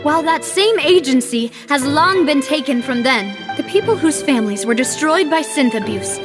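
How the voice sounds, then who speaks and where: Woman as high-voiced, determined young accuser. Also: close to an everyday voice. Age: young adult. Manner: earnest, dramatic, deliberate. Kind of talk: dramatic game cutscene acting.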